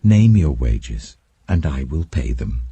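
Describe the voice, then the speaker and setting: Man playing a canny, deep-voiced older patriarch. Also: big pitch swings, slow pace, creaky, mellow, breathy edge, dry recording, muffled recording, exaggerated voice. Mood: placating. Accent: British. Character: canny older male livestock owner, placating.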